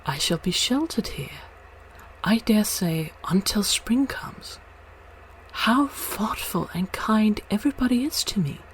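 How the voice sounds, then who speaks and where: A woman's voice: medium pitch, animated, slow, in a whisper, pitched above the performer's own voice, low-quality recording. Woman voicing a naive, wistful young fir tree. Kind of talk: storybook character voice.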